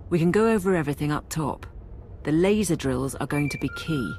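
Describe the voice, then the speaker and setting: A woman playing a brisk, dry-witted Imperial military aide in a video game, businesslike and wry. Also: deep voice, lively intonation, a little mellow, slight background noise. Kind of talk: video game briefing dialogue. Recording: game music behind the voice.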